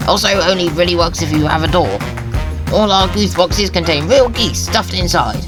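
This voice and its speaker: An over-the-top infomercial pitchman, acted out by a man, pitched high and delivered with theatrical salesmanship. Music plays clearly behind the scripted mock-advert patter. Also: normal speed, natural voice. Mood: mock-earnest salesy.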